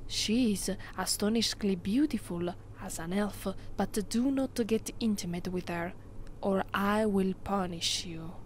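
A woman with an Italian accent playing a haughty, possessive immortal vampire who rules a castle, in a soft, imperious, often whispered ASMR roleplay. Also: mid-range voice, slight background noise, close to an everyday voice. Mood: possessive, stern. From Italy. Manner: imperious, slow and deliberate.

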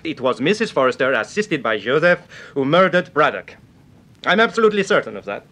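An actor playing a sceptical, cocksure police investigator in an old radio serial, formal and insistent. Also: male voice, fairly high pitch, big pitch swings, brisk, pushed and bright.

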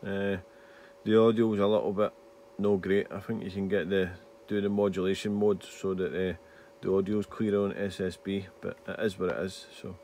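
A man's voice: low voice, little pitch movement, normal speed. A man with a Scottish accent giving a casual commentary as a radio hobbyist demonstrating his set. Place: small indoor radio desk. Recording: loud radio static and hiss behind. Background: CB radio static and chatter.

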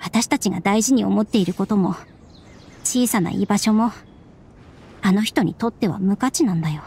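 An actress voicing a subdued young woman in a Japanese game, speaking in a measured, wistful and serious way. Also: medium pitch, darkish tone, slight background noise, close to an everyday voice. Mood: resigned, sad. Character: subdued, resigned young woman, estranged daughter of strict scholar. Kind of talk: Japanese anime game voice acting.

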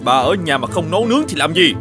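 A man playing a grumpy, fault-finding middle-aged shoemaker in an animated fairy tale, with a scolding, exclamatory manner. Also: fairly high pitch, fast talker, over music. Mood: exasperated scolding.

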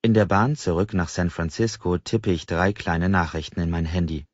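Deep-voiced male audiobook narrator. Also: steady tone, moderate pace, no echo, muffled recording. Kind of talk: scripted first-person novel narration.

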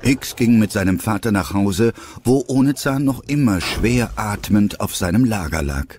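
A man's voice: fairly low pitch, animated, moderate pace, darkish tone, soft background music. A man reading scripted narration for an audio drama.

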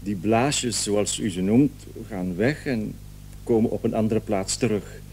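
An actor playing an anxious, long-suffering patient who stammers and repeats himself in a radio drama. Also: male voice, on the low side, measured pace, darkish tone. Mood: worried, plaintive. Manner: hesitant, stammering, repeating words. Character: anxious, long-suffering male allergy patient, hesitant and plaintive. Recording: old radio recording, faint hum.

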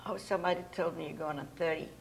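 A woman's voice: low-pitched, fairly even intonation, racing, a little hiss or hum, rough recording. An older woman, a Hungarian native speaker, hosting a casual studio talk show and chatting with guests, laughing often, on a lapel mic. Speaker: host. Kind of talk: casual interview chat. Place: TV studio set.

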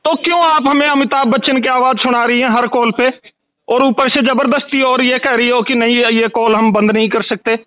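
Male caller, very high voice, furious and insistent, customer complaint rant, dry phone recording. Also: steady tone, moderate pace, a bit bright, dry recording, rough recording. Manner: angry, insistent, ranting, repetitive. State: angry. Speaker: complaining customer calling a helpline.

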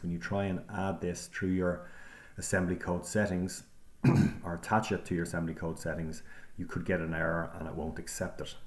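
A low-pitched male instructor narrates a screen-recorded software lesson. He moves through each click calmly, pausing on ums as he explains. It sounds like a desk mic in a quiet room. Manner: calm step-by-step explaining with frequent ums.

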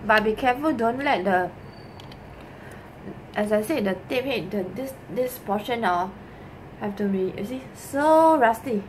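Singaporean lady, middle aged, doing a slow halting diy demo at home, bit of noise in the recording. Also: on the high side, lively intonation, a little mellow. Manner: halting, repeats herself, ends phrases with particles like lah and ah. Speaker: vlogger giving a cleaning demonstration. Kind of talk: casual, improvised how-to talk. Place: small bedroom with desk, indoors. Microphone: camera mic at arm's length on desk. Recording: noisy home recording with room ambience.

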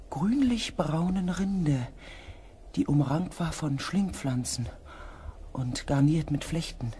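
An actor playing a reflective expedition member, narrating a sci-fi radio drama in a deliberate, descriptive way. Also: male voice, a little high, darkish tone, a little hiss or hum. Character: reflective male expedition member telling the story. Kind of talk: scripted first-person narration.

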